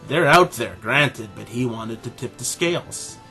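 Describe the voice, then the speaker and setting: A man playing an arrogant, condescending middle-aged animal scientist and father in a scripted audio drama, speaking in a pompous, deliberate way. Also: mid-range voice, animated, bright, forceful tone, faint music behind.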